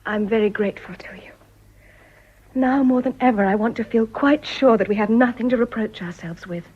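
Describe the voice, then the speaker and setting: An actress with an English accent playing a devoted, quietly resolute Edwardian wife in a radio drama, with a breathy voice. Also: female voice, a little high, moderate pace. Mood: tender, hushed. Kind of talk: period radio drama acting. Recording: dull, slightly muffled old radio recording.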